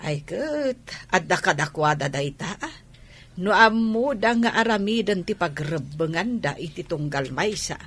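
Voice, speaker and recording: A woman playing an older Ilocano village mother who frets about her married child in a radio drama, with a husky voice. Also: on the low side, expressive, unhurried, fairly bright tone, heavily acted. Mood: concerned, reflective.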